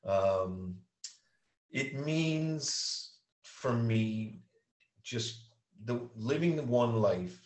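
A man hosting a podcast interview in a casual, halting way, with many ums and uhs. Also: medium pitch, animated, distant mic. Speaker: podcast host. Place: Newfoundland. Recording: echoey room, away from mic.

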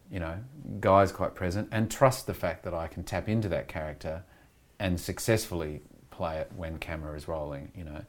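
A middle-aged Australian man talking candidly in an interview, rambling with frequent restarts and "you know" fillers. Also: sits low. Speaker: actor being interviewed. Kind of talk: reflective conversational interview.

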